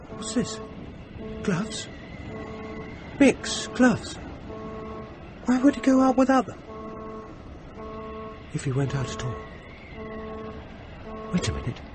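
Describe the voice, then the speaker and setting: An actor playing a frightened, insistent man stranded in a snowstorm, giving grave, urgent warnings with dramatic pauses in a radio horror drama. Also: high voice, exaggerated voice. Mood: alarmed, frantic.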